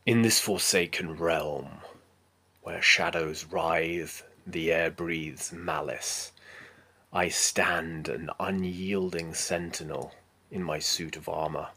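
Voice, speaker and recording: A battle-worn knight in armor, acted out by a man, defiant against demons in a solemn film monologue. The voice is very deep and husky, slow and grandiose, with forceful intensity and breathy phrase endings. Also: steady tone, full character voice. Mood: grim, foreboding. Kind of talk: dramatic film audition monologue.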